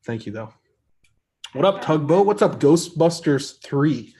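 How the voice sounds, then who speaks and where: A man hosting a casual live stream, reading viewer comments and answering them in an easygoing, rambling way with a soft voice. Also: a little high, expressive, measured pace. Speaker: arm wrestler hosting a live chat stream.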